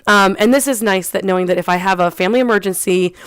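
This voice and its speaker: Chatty young woman, smooth dry voice. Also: medium pitch, fast, no echo. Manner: chatty, frequent ums and restarts; laughs lightly between phrases. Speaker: online English teacher. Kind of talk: casual vlog chat.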